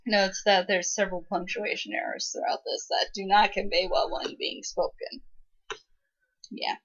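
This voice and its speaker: A woman's voice: medium pitch, little pitch movement, moderate pace, lo-fi sound. A woman making wry, casual remarks and interjections as a co-host commenting on fan fiction.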